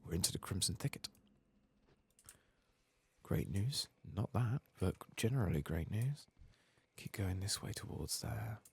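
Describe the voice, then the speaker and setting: A man giving soft-spoken, sometimes whispered ASMR commentary as he plays a video game, murmuring to himself and casually swearing, close to the microphone. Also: on the low side, expressive, a little slow, gravelly, long pauses, slightly rough. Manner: murmured running self-talk, casually sweary. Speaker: streamer playing a video game.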